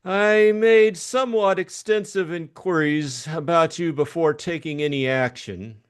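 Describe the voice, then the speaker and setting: A man's voice: on the high side, unhurried, smooth, voice pushed up, natural voice. A man voicing a suave, effete male schemer from a crime novel, with formal, mannered, evasive politeness. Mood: suave, polite.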